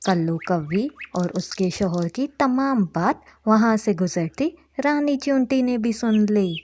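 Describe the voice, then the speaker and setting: A woman's voice: mid-range voice, lively intonation, unhurried, a little mellow, a little hiss or hum. A woman narrating a scripted moral story for children as a storyteller.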